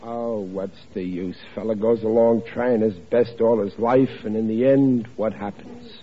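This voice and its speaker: An actor playing a blundering, excitable family man with a blustering, plaintive, ungrammatical manner in a 1940s radio sitcom. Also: on the low side, measured pace, soft background music, close to an everyday voice. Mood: dejected. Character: blundering, excitable working-class family man, easily hurt. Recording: muffled 1940s radio recording with noise.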